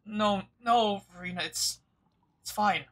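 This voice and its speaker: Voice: medium pitch, slow pace, fairly bright tone. A game streamer giving casual live commentary, hesitant and often repeating phrases while thinking aloud.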